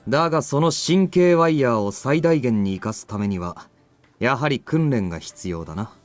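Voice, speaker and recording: Fast-talking, confident young man played by an actor. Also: mid-range voice, lively intonation, no echo, natural voice. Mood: confident, firm. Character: confident, tough young male fighter and trainer. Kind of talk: Japanese visual novel voice acting.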